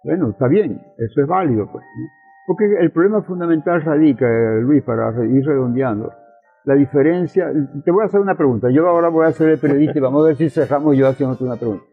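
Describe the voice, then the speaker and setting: A man's voice: on the high side, fast, husky. An older man with a Venezuelan accent explaining political ideas in an interview, didactically, with rhetorical questions and repeated key phrases. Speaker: interviewed communist politician and professor. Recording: thin phone-like radio sound with music.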